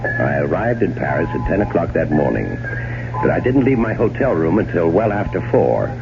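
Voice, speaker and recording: A man's voice: low-pitched, very animated, normal speed, gravelly, over music, heavily acted. An actor playing a suave, wry, middle-aged murderous husband who narrates his own story in 1970s radio drama style. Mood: wry storytelling. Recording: dull, very noisy old radio broadcast.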